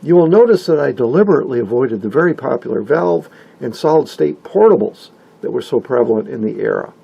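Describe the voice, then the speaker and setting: A man's voice: medium pitch, expressive, fairly quick, creaky, slightly rough, dull audio. A man narrating a hobbyist video from a script, with wry, folksy, playful asides and an enthusiast's turn of phrase.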